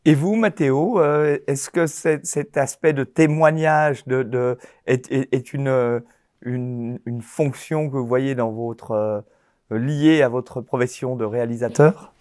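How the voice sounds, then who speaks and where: A man hosting a film festival interview, speaking formally and haltingly, with many pauses, fillers and restarts. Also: medium pitch, darkish tone, no room sound, studio quality.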